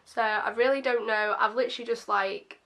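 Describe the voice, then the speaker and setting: A young woman with a slightly croaky voice, casually chatting through a makeup first-impressions video with lots of ums and self-deprecating asides. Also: a little high, steady tone, a little fast, bright, forceful tone. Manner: chatty and self-deprecating, many fillers like um and obviously. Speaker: beauty vlogger.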